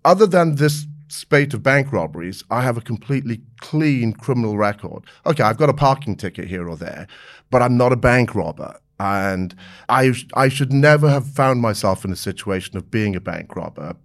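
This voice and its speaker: An open, friendly man of about sixty, telling his life story in a relaxed interview. His pitch sits mid-range for a man, and he laughs easily and often stops to restart his sentences. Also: normal speed. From born in South Africa, raised in the United Kingdom. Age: around 60. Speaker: interview guest. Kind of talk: candid conversational interview.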